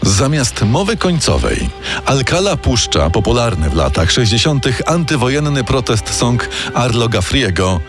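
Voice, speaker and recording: A male narrator reading a scripted true-crime story. His pitch is medium but swings in a lively way, and music plays clearly behind him. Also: normal speed.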